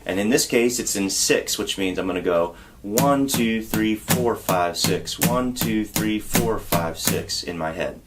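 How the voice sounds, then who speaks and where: A man teaching a song on guitar, explaining step by step in a patient, relaxed way and counting beats aloud. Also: fairly low pitch, a little slow, hardly any pauses. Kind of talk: guitar tutorial. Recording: loud guitar under the voice. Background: acoustic guitar playing.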